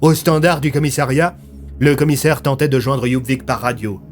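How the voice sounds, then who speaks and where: A man's voice: mid-range voice, fairly even intonation, moderate pace. A man narrating a scripted dramatic story in a grave, theatrical way. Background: music.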